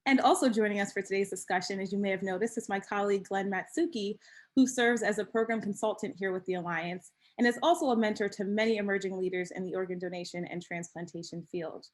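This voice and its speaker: A woman's voice: mid-range voice, fast talker. A woman hosts and moderates a webinar conversation in a polished, welcoming, scripted manner. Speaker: host and moderator.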